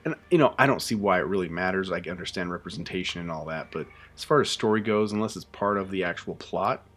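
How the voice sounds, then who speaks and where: Casual male streamer, deep voice, loose chatty delivery with fillers. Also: quick pace. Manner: relaxed, chatty, many fillers and restarts. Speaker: YouTube host reacting to a show. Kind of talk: casual live reaction chat.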